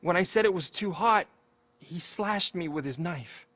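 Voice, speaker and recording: A man's voice: sits high, expressive, measured pace, fairly bright tone, dry recording, full character voice. An actor playing a troubled teenage boy and petty thief in a video game, earnest and streetwise, with an anxious, regretful manner. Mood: anxious, confessing. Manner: pleading, earnest, streetwise slang. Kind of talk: video game cutscene acting.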